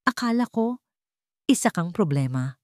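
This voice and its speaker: A woman's voice: medium pitch, very animated, measured pace, no room sound, clean studio sound. A Filipino woman voicing a devoted, playful man in love within a romantic story narration. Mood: playful, teasing. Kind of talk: acted dialogue in story narration.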